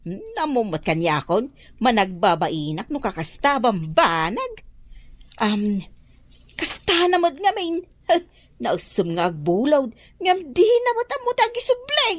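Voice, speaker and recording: A pushy, wheedling village wife. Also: female voice, fairly high pitch, unhurried, no room sound. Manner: wheedling, lively and chatty. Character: pushy middle-aged village wife who borrows money. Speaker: radio drama actor. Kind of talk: radio drama acting.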